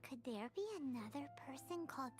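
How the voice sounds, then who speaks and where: A woman voicing a tiny, childlike fairy companion in a video game, squeaky, blunt and excitable. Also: fairly quick, faint music behind. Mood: puzzled. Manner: puzzled, exclamatory, cheeky.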